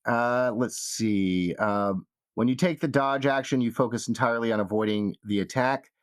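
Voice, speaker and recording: A man's voice: medium pitch, moderate pace, clear, resonant tone. A man narrating a tabletop role-playing game as game master, casual and free with swearing. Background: music.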